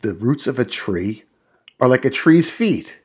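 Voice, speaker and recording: A man's voice: mid-range voice, big pitch swings, a little slow, slightly rough, exaggerated voice. A man voicing an eager young boy with an earnest, wide-eyed delivery in a storybook.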